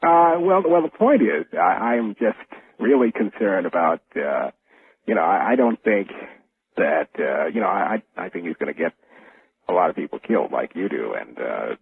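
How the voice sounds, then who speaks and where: An American man calling a radio show by telephone, speaking casually in a halting, hesitant way and repeating himself. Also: low voice, lively intonation, breathy edge. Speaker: radio phone-in caller. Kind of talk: casual phone-in talk. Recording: narrow, tinny phone line on radio.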